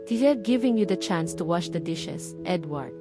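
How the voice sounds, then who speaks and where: A woman's voice: on the low side, animated, measured pace. A woman reading the part of a stubborn, defensive young woman in love in a storybook, with a Filipino accent. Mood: grudging. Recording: music behind the voice.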